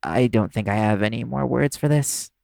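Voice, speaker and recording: A man acting in an audio roleplay as a nervous, caring young vampire's human partner, speaking in a halting, stammering way. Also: mid-range voice, creaky, a little mellow, dry recording, natural voice. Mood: overwhelmed, earnest.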